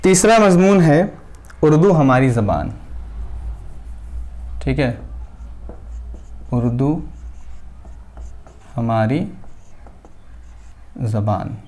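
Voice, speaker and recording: A male teacher giving a classroom lecture at a board, dictating names slowly word by word and answering his own questions. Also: fairly high pitch, darkish tone. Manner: asks the class questions and answers them himself.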